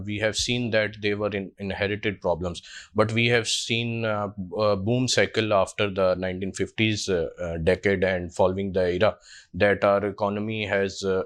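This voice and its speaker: A man's voice: lowish voice, fairly bright tone. A man in his twenties with a Pakistani English accent, answering a job interview panel hesitantly with many fillers and restarts. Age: 20s. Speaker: job candidate.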